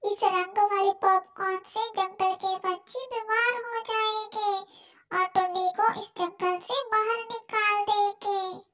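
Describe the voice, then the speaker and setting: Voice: extremely high pitch, steady tone, slow, pushed and bright, full character voice. A performer voicing a jealous, vengeful male crow in a children's cartoon, with a sly, accusing manner. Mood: scheming, spiteful. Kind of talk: children's cartoon story acting.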